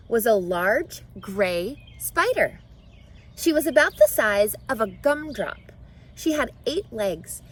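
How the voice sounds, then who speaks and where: A woman narrating a children's book aloud in an expressive storytelling style. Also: on the high side, a little hiss or hum.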